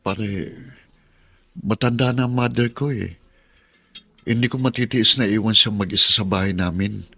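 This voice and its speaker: A troubled young husband in a radio drama. His lowish, tense voice sounds burdened and torn between loyalties. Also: normal speed, gravelly, pauses often, bright, forceful tone, husky, dry recording, exaggerated voice. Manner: downcast, burdened. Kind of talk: Filipino radio drama acting.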